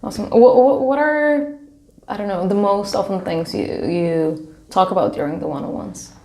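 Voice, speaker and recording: Woman as podcast-style interviewer, mid-range pitch with plenty of vocal fry, informal questioning, roomy echo. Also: moderate pace, dark tone. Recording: roomy, echoing sound.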